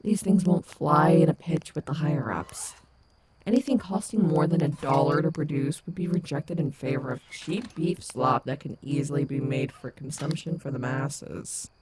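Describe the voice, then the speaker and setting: A woman's voice: low voice, moderate pace, heavy background noise, lightly acted. A woman playing a sardonic but protective animatronic wolf rock star in a fan audio roleplay, blunt and affectionate. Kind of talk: fan audio roleplay, soft intimate acting.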